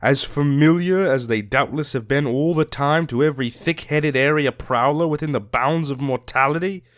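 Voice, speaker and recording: A man voicing a proud, quick-tempered Egyptologist in a dramatic audiobook, firing emphatic, scornful questions. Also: a little high, fairly bright tone, no echo, studio quality, voice pushed up, lightly acted. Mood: indignant, scornful.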